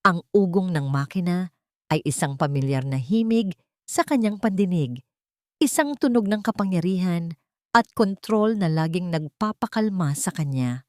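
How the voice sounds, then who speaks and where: A woman narrates a dramatic story. Her voice sits low for a woman, and the sound is dry, with very little room in it. The delivery is scripted storytelling. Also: lively intonation, moderate pace, no echo.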